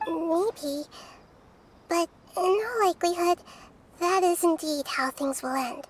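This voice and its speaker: A woman voicing a calm, wise, gentle young girl in a video game, speaking in a measured, thoughtful way. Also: very high voice, slight background noise. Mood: thoughtful, grave. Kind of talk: animated video game voice acting.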